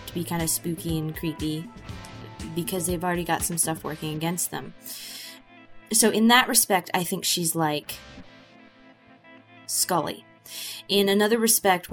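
Chatty woman with a lowish voice. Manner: chatty, rambling, with restarts. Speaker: voice actress, interview guest. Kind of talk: casual interview answers.

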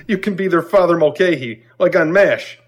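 A man's voice: fairly high pitch, normal speed, close to an everyday voice. A man playing an enthusiastic, teasing crew member in a scripted audio comedy skit.

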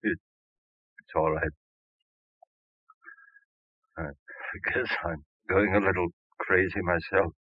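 An actor playing a desperate husband in an old radio drama, overwrought and given to cries and shouts. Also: male voice, high voice, very animated, a little slow, breathy edge, dry recording, exaggerated voice. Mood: anguished. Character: desperate husband losing his grip. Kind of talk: 1940s radio acting. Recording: dull old radio recording.

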